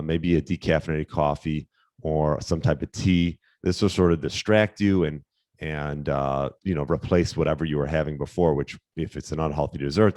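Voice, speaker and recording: A man hosting a casual solo fitness podcast, slightly hoarse, talking in a relaxed, rambling way with fillers and restarts. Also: rumbling low, no echo, studio quality. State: slightly hoarse, losing his voice. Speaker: podcast host, fitness coach.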